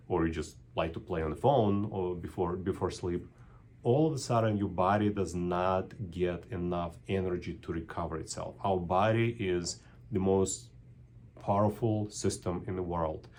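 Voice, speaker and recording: A man's voice: sits low, darkish tone, slight background noise. A middle-aged man with a Russian accent, a neurologist talking to camera in a thoughtful, unscripted way with frequent ums and restarts.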